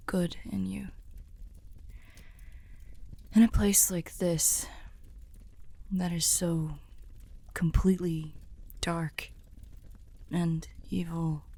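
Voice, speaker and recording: A woman voicing a courtly, tender female demon weary of evil, speaking softly and formally, half whispering, in an ASMR roleplay. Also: lowish voice. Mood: wistful, tender. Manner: slow, soft, breathy half-whispered formal speech.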